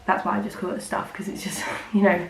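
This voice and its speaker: A teenage girl chats to camera in a loose, rambling way, leaning on filler words. Her voice is a little croaky from a cold and sits at a middling pitch. The room around her is echoey, with a faint hiss of ambient noise behind. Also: little pitch movement, a little fast. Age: teens. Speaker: vlogger. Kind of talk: casual chat to camera.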